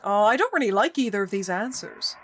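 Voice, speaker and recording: A woman streamer chatting casually with her viewers during a live stream of a visual novel, animated and reactive. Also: medium pitch, brisk, slight background noise.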